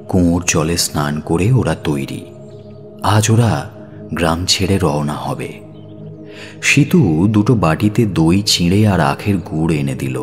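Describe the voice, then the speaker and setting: A man narrates a dramatic audio story in a very deep voice. His delivery swings expressively and takes its time, with music playing underneath. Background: background music.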